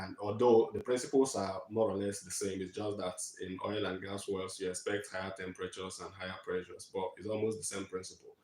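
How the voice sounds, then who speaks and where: A man from Nigeria in his thirties giving a measured online talk from slides to students, with frequent fillers. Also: sits low, a little mellow, echoey room, low-quality recording. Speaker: guest speaker. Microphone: video call.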